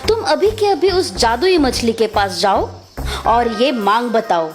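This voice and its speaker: A woman's voice: a little high, lively intonation, a little slow, soft background music. A woman voicing a greedy, discontented fisherman's wife in a children's cartoon, demanding and melodramatic. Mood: bossy.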